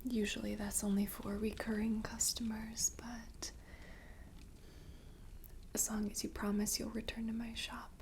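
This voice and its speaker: A young, mysterious shopkeeper woman from a fantasy port , played by a woman in an ASMR roleplay, speaks in a gentle, unhurried whisper. Her delivery is intimate and level, with a crackly fry. Clear ambient noise sits behind the voice. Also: a little high, completely flat delivery. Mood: hushed, inviting. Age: young adult. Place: dim candlelit tabletop set indoors.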